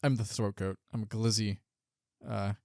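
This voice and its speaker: A man's voice: fairly low pitch, steady tone, normal speed, creaky, a little mellow, no room sound. A man co-hosting a podcast in casual chat, trading crude jokes, with a dry throat. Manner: crude joking banter. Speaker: podcast co-host.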